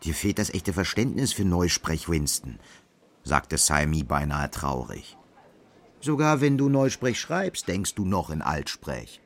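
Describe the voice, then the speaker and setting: A man's voice: low-pitched, very animated, a little slow, full character voice. A man narrating a literary radio drama in scripted prose.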